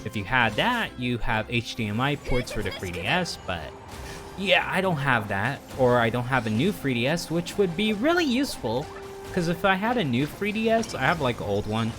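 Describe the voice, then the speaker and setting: A man's voice: medium pitch, expressive, normal speed. A man chatting casually and informally as a game livestreamer, rambling with opinionated, exasperated asides. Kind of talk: casual rambling stream chat about games. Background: background music.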